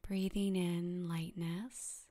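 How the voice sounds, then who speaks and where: A woman softly guiding a meditation in a breathy, half-whispered voice, calm and soothing, with gentle instructions and long pauses. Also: lowish voice. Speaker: yoga nidra meditation guide. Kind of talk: guided meditation narration.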